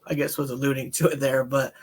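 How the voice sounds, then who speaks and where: A man talking as a counselor in a casual, candid discussion, with a relaxed, folksy manner. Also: medium pitch, fast talker. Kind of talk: casual podcast-style discussion.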